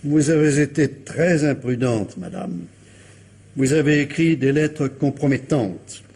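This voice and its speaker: An actor playing a shrewd, insistent police commissioner who questions a suspect with courteous, insinuating pressure, in a French radio crime drama. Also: male voice, mid-range voice, a little slow, creaky, a little mellow, breathy edge. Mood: grave, accusing.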